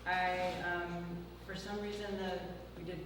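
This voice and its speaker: Soft-voiced woman reading in a big hall. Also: lowish voice, level tone, measured pace. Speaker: author reading her fiction. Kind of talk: live literary reading. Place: large former church hall. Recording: distant mic in a very echoey hall, hum of noise.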